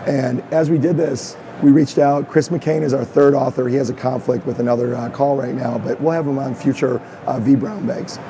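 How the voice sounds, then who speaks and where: A man's voice: medium pitch, steady tone, moderate pace, hardly any pauses, background noise. A man with a strained, fading voice, welcoming the audience and introducing a panel on a conference stage. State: losing his voice. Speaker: host and author.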